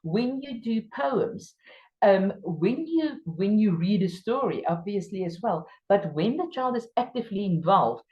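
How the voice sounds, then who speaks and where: A woman from South Africa with an Afrikaans accent, speaking English as her second language while training teachers. She lectures emphatically, stressing key words with sudden jumps in pitch. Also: lively intonation, a little slow, highs cut off. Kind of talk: workshop lecture.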